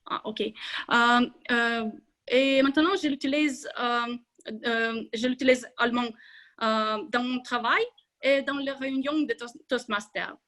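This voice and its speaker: Hesitant high-voiced woman. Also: fairly even intonation, measured pace, a bit bright. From Ukraine. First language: Russian and Ukrainian. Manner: hesitant, many filler sounds, restarts words. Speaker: club member giving a speech. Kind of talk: hesitant prepared speech.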